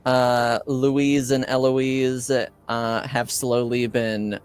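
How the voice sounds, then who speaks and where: A man improvising as game master in a tabletop roleplaying game, hesitant and halting as he searches for words. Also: medium pitch, little pitch movement, smooth, faint music behind.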